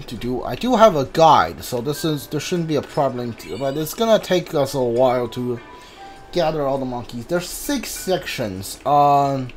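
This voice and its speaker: A video game player giving casual commentary, thinking aloud in a halting way. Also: mid-range voice.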